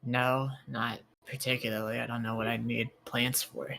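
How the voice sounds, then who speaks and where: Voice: medium pitch, level tone, normal speed, vocal fry, sharp tone, a little hiss or hum. A player in a tabletop game speaks in a mid-range voice. The talk is casual banter, blunt and teasing, with some swearing.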